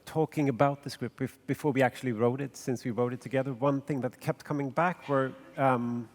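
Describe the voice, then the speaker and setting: A man from Sweden, co-writer of a film, answering on a festival panel in a thoughtful, halting way full of ums and restarts. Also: mid-range voice, fairly even intonation. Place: festival stage in a large hall. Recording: long reverb of a large hall.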